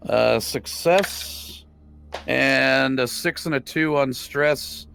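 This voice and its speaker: A man's voice: medium pitch, drawn-out words, gravelly, fairly bright tone, a little hiss or hum. A man chatting casually as a player in a tabletop roleplaying game, saying what his character does.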